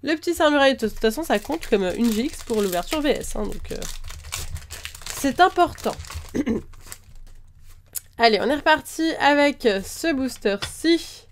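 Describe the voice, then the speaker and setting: A woman's voice: high-pitched, animated, measured pace, pauses often, a little hiss or hum. A woman with a slight cold, chatting casually as she opens card packs and lists each one, with lots of hesitant fillers. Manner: chatty, lists items one after another, frequent "euh" fillers. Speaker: card-opening video host. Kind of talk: casual chat while opening trading card packs.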